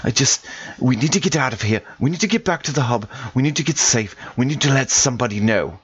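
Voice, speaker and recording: A man playing a tabletop game, speaking in character with hesitant, halting delivery and many fillers. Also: mid-range voice, lively intonation, highs cut off. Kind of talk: in-character roleplay with out-of-character asides.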